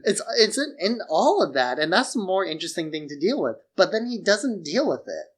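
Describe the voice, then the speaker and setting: A man's voice: high voice, sing-song, fairly quick. A man co-hosting a podcast, giving opinionated, analytical takes in casual film discussion. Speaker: podcast co-host.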